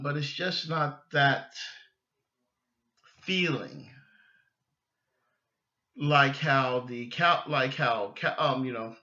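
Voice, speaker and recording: A middle-aged man hosting a sports podcast solo, giving opinions emphatically and haltingly, repeating phrases as he goes. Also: fairly high pitch, sharp tone, highs cut off.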